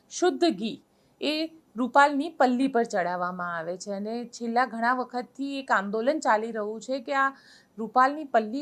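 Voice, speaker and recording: A woman with a Gujarati accent presenting an interview topic in a measured, explanatory and emphatic way. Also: a little high. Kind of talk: spoken introduction to an interview.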